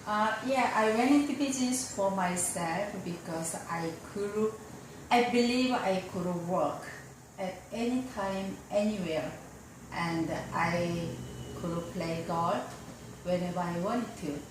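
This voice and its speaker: A woman attorney whose first language is Korean, answering interview questions in slow, careful, somewhat formal English. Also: medium pitch. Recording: roomy, distant mic with faint noise.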